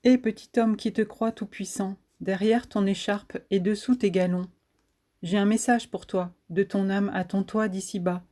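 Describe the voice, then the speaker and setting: Soft-toned woman reciting solemn poetry. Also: mid-range voice, fairly even intonation. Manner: solemn, declamatory, deliberate phrasing. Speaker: poet reciting own poem. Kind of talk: recited spoken-word poetry.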